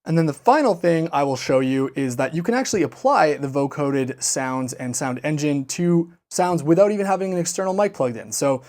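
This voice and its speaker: A man's voice: a little high, a little fast, few pauses. A man presenting a synthesizer demo as a casual, playful, self-deprecating walkthrough. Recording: music and synth sounds behind voice. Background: loud synthesizer music.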